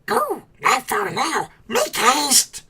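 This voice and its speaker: A woman's voice: high-pitched, huge pitch swings, drawn-out words, sharp tone, pitched above the performer's own voice, exaggerated voice. A woman voicing a wretched, treacherous male cave creature who hisses, whispers and talks to himself in an audiobook. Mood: brooding. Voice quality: hissing, breathy whisper. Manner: talks to himself, repeats words, swings between whimper and shriek. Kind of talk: audiobook character voice.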